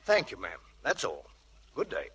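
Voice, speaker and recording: An actor playing a polite, apologetic police inspector, formal and deferential, in old radio drama style. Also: male voice, medium pitch, wildly swooping pitch, moderate pace, a bit bright, slight background noise. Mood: grave, formal. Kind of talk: 1940s radio acting.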